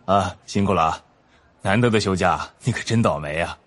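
Low-voiced, collegial detective. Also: male voice, moderate pace, fairly bright tone. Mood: sympathetic. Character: collegial male police detective, slightly older colleague. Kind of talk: radio drama dialogue.

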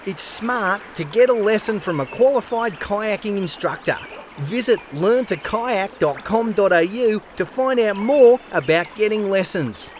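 A man's voice: high voice, animated, a little slow, slight background noise. A man voicing a cheerful, friendly cartoon koala mascot with an Australian accent, giving scripted safety advice in an upbeat, instructive way. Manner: upbeat, instructive, clearly enunciated. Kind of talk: scripted children's-style safety narration.

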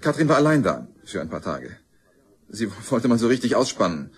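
An actor in a radio crime drama playing a terse, harried well-off man under pressure, with a rough, husky voice. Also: lowish voice, big pitch swings, fairly quick. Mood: subdued, tense.

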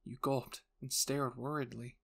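Male narrator, mid-range pitch, for dramatic scripted fiction. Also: level tone, unhurried, vocal fry, fairly bright tone. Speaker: narrator and voice actor.

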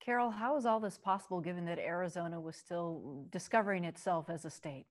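A woman moderating a panel over a video call, asking brisk questions. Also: fairly low pitch.

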